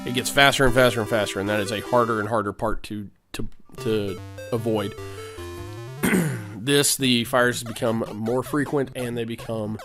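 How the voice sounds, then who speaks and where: A man's voice: mid-range voice, steady tone, moderate pace. Guy with a cold chatting through a retro game, lots of ums, music playing behind. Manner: rambling, with frequent fillers and throat clearing. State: has a cold. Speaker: let's play host. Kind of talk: casual gameplay commentary.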